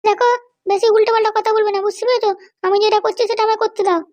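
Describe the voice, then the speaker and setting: A middle-aged Bengali wife with an extremely high, childlike cartoon voice. She talks quickly and bossily, nagging and commanding, always suspicious and scheming. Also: little pitch movement. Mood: irritated, commanding. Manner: nagging, commanding, keeps demanding to be understood. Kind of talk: animated comedy cartoon dialogue.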